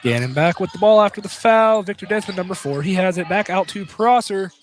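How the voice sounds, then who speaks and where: A man's voice: high voice, animated, a little fast. A man doing live basketball play-by-play on the radio in short, clipped phrases. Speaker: play-by-play commentator. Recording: slight background noise.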